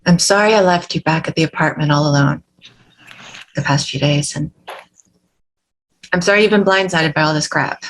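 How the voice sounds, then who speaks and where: Brash hard-drinking mother played by a woman, husky and slightly low, foul-mouthed, doting, defensive. Table read drama. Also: moderate pace, long pauses, low-quality recording. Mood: apologetic, reassuring.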